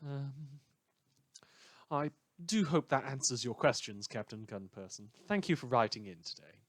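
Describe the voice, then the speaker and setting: A man's voice: mid-range voice, animated, normal speed, no room sound. Understated man hosting a radio show, dry sound. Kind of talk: in-character radio news and letter reading.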